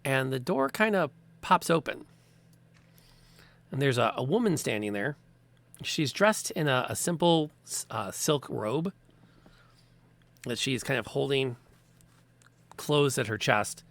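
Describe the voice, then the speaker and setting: A man running a tabletop game, narrating in a relaxed, hesitant way with many pauses. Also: medium pitch, gravelly. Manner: relaxed, hesitant, lots of ums. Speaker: game master.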